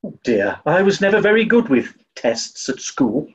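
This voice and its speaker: Man acting a high-pitched, dim toff. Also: very animated, moderate pace, highs cut off. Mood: blithe. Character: dim-witted, cheerful British toff detective. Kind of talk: 1940s comedy radio play acting.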